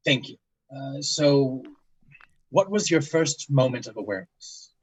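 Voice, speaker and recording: An actor playing a formal, methodical investigator of strange events, speaking in a clinical, hesitant, measured way in an audio drama. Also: male voice, medium pitch, lively intonation, slight background noise, natural voice, rough recording. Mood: probing, polite. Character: formal, methodical paranormal investigator, male, narrating case tapes. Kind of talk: audio drama, scripted case-file narration and interview.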